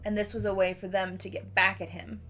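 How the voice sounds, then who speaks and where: A woman's voice: mid-range voice, flat delivery, a little fast, background noise. A woman hosting a board game livestream, switching casually between reading game text aloud and chatting.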